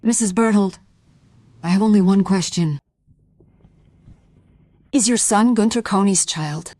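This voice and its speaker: An actress playing a tense, anxious wife in a radio play, with a hushed, hesitant, breathy delivery. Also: female voice, mid-range voice, a little slow, dry recording, close to an everyday voice. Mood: tense, shaken. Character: tense, anxious married woman, probing a secret.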